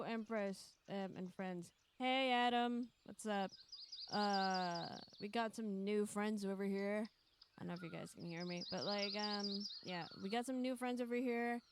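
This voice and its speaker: A woman's voice: medium pitch, steady tone, a little slow, noisy background. A woman hosting a stream in casual chat, rambling and hesitating as she goes. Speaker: streamer hosting a group visual novel stream.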